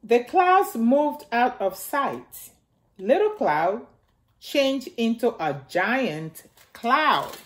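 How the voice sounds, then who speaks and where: A middle-aged woman reads a picture book aloud for very young children. Her voice sits a little high and swings widely in pitch. She takes her time, phrasing simply and repeating key words. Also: sing-song, extremely slow. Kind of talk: read-aloud storytelling for small children. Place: indoors in a home, beside a staircase.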